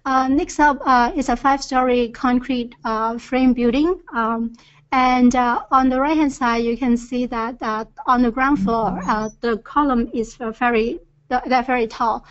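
A woman's voice: fairly high pitch, fairly even intonation, unhurried. A woman presenting engineering field observations on a webinar, with frequent hesitant fillers. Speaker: earthquake reconnaissance presenter. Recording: dull lo-fi webinar audio.

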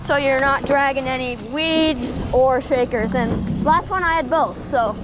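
Teen boy vlogging from a fishing boat, high voice, says guys a lot, loud engine and water behind him. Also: measured pace, background noise. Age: teens. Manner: chatty, keeps addressing viewers as guys. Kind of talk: casual on-camera fishing vlog. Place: small open boat on foggy open water. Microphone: action camera on the boat.

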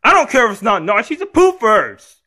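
A man's voice: very high voice, big pitch swings, brisk, pitched above the performer's own voice, exaggerated voice. Man doing a shrieky bratty little boy. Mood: angry, defiant. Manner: whiny, defiant, yelling outbursts. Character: bratty screaming young boy, rude and whiny.

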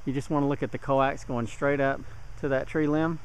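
A man's voice: medium pitch, fairly even intonation, fairly quick. A man giving a casual, plain-spoken hands-on tutorial as a hobbyist demonstrator, recorded outdoors on a handheld camera. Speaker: DIY radio hobbyist demonstrator. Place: outdoors in a yard. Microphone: handheld camera mic. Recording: outdoor handheld camera, clear background noise.

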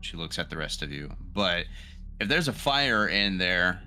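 A man playing a tabletop game, talking casually and thinking aloud in a halting way. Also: low-pitched, sing-song, sharp tone, slight background noise. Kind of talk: casual chat.

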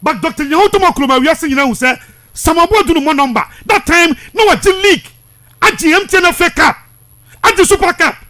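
High-pitched, forceful Ghanaian sports presenter, male. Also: animated, fast. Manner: emphatic, insistent, repetitive. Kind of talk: heated sports commentary, switching between languages. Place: small radio studio. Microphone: studio microphone close up.

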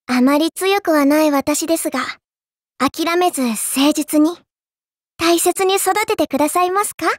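A woman's voice: high voice, measured pace, no room sound, studio quality. A woman voicing a small AI servant girl in an anime mobile game, sweet and polite with a prim, smug edge. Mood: gentle, polite.

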